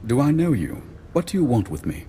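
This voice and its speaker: An actor playing a bitter, defiant father on the run in a video game cutscene, his voice husky and rough. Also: mid-range voice, big pitch swings, a little fast, mellow, big-room sound, a little hiss or hum, natural voice. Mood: wary. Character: defiant, bitter runaway father, failed dark-order acolyte.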